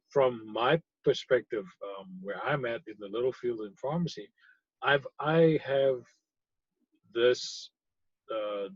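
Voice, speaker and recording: A man from South Africa, a pharmacy technician, answering interview questions thoughtfully, with frequent pauses and fillers. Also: medium pitch, husky, no echo, dull audio. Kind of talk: conversational interview.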